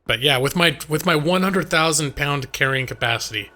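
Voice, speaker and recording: Bright-voiced, higher-pitched man streaming. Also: normal speed. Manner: rambling, conversational, addresses chat directly. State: slightly hoarse. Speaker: live game streamer. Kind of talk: casual chat with viewers while gaming. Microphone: close microphone on a boom arm.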